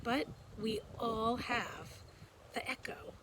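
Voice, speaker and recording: A woman reading a children's picture book aloud in a gentle, expressive storytelling style. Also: a little high, slow pace, slight background noise, poor audio.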